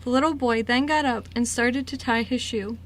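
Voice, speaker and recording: A woman's voice: on the high side, moderate pace. A woman reading scripted story narration for an amateur radio drama. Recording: noisy amateur radio recording.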